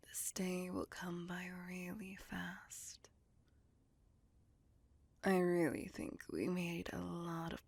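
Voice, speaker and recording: Woman acting a slow, whispering, possessive vampire lover. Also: lowish voice, exaggerated voice. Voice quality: breathy whisper with husky, creaky edge. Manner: slow, intimate, coaxing yet controlling. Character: possessive, seductive female vampire doting on her captive lover. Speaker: voice actor. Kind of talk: whispered ASMR roleplay.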